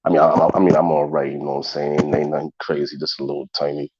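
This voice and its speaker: A man's voice: very deep voice, monotone, fast talker, a little mellow. A man in his thirties hosting a casual solo talk show, congested, with a loose, repetitive way of talking straight to his listeners. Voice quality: gravelly and husky. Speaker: podcast host. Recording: dull lo-fi sound.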